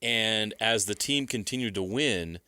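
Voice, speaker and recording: A man's voice: lowish voice, a little slow, pushed and bright, smooth, dry recording, studio quality. A man with an American accent co-hosting a sports radio show, giving unscripted analysis in short, emphatic statements.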